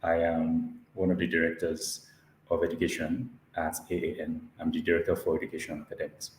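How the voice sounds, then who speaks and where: A man's voice: very deep voice, completely flat delivery, a little fast. A man who directs education giving a polite, formal welcome over a video call. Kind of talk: formal greeting. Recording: echoey room with faint noise.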